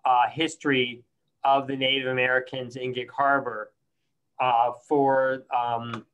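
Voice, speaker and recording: Brief: male mayor running a council meeting, monotone, halting delivery. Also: mid-range voice, clean tone, low-quality recording. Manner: halting, fills pauses with uh and restarts. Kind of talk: meeting chair remarks.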